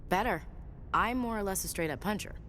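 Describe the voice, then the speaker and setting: A woman's voice: mid-range voice, brisk, fairly bright tone, a little hiss or hum, close to an everyday voice. A woman playing a tough, candid soldier and protective big sister in a video game, frank and conversational. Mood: earnest, proud. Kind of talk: naturalistic video game dialogue acting.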